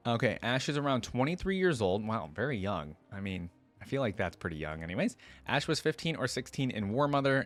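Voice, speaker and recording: A man with a mid-pitched voice gives casual reaction commentary. He moves between reading text aloud and adding curious, informal remarks. The sound is dry, with very little room in it. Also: dry recording. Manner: informal, curious, frequent "like" and "kinda". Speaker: reaction video host.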